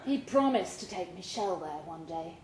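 A woman reading fiction aloud at a live event in an expressive storytelling style. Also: fairly low pitch, normal speed. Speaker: short story reader at a live literary event. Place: indoor pub event room. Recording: roomy pub recording with faint noise.

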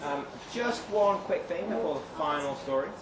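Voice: medium pitch, lively intonation, moderate pace. An event host making informal announcements to a live gathering. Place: indoor live event venue. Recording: distant, roomy, noisy live event recording.

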